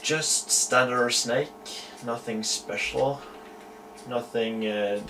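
A young man from Norway with a Norwegian accent, showing off a homemade electronics project in a small room at home, explaining it haltingly with many fillers and self-corrections. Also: medium pitch, fairly even intonation, pushed and bright, slight background noise, rough recording. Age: young adult. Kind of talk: hobbyist electronics demo.

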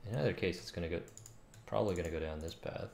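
A man's voice: low voice, very fast, darkish tone. A man in his thirties muttering hesitantly as he thinks aloud, casually coding on a stream. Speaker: game developer streaming. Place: indoor room at a computer. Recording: roomy with clear background noise. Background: keyboard typing and clicks.

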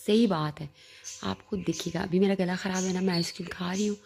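A woman's voice: lowish voice, fairly even intonation, a little fast, gravelly, a little hiss or hum. A young woman, chatting casually to viewers as friends while filming around her home on a phone, with a sore throat. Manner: chatty, warm-hearted, addressing viewers as friends. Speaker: vlogger. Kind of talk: casual home vlog voice-over. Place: home, indoors.

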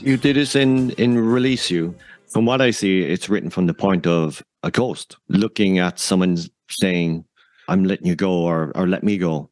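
A man's voice: low-pitched, normal speed, a little mellow, no echo, soft background music. A man interviewing a guest in casual podcast chat.

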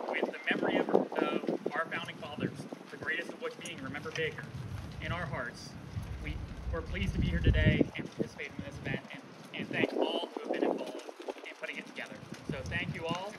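A man from a town board speaks at an outdoor ceremony in a slightly high voice, often stopping and restarting his sentences. He stands away from the mic, and heavy outdoor noise runs under his words. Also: fairly even intonation, recorded from a distance. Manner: halting, many restarts. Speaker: select board member. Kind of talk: public speech at an outdoor commemoration.

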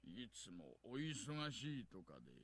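An actor playing a burly middle-aged detective in a visual novel, falsely polite, oily and mocking. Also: male voice, mid-range voice, big pitch swings, moderate pace, a bit bright. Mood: smug. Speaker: police detective. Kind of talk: Japanese visual novel voice acting.

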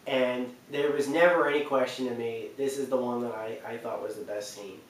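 A man in his twenties giving casual, unscripted video game countdown commentary, halting and repeating phrases for emphasis. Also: steady tone. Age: 20s. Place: living room, on a sofa. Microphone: camera a few feet away. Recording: roomy, distant mic, faint rumble.

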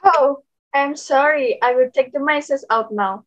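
A woman's voice: sits high, unhurried, muffled recording. A woman playing a meek, kind but steadfast young girl in a readers theater. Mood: apologetic, reassuring. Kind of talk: readers theater acting on video call.

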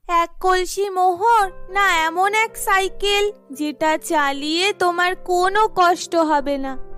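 A woman voicing a kindly magic fairy in a children's cartoon, speaking in a deliberate, benevolent way. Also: extremely high pitch, fairly bright tone, voice pushed up, heavily acted. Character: kindly magic fairy, female messenger of God, testing a boy.

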